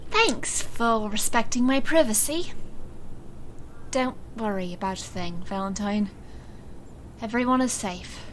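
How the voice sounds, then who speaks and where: Woman playing a slow-spoken, guarded, composed woman. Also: a little high, a little hiss or hum, lightly acted, poor audio. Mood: guarded, dry. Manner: measured, composed. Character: calm, guarded, secretive woman in charge, dryly protective. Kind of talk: audio drama acting.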